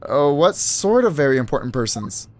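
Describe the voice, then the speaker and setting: A man's voice: a little high, big pitch swings, moderate pace, a little mellow, a little hiss or hum. A man voicing an earnest, nervous young male law student who stammers and restarts.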